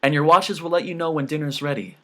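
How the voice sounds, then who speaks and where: A man's voice: fairly high pitch, fast talker, bright, forceful tone, voice pushed up. A man voicing a middle-aged wife, polite, hesitant and distantly sweet, in an audiobook story. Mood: politely sweet.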